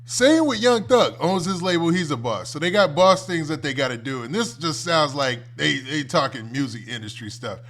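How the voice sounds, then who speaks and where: Higher-pitched American man giving casual commentary. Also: expressive, normal speed, few pauses, a bit bright. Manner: conversational, colloquial, often ends phrases with "man". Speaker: host of a commentary livestream. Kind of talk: casual off-the-cuff reaction commentary.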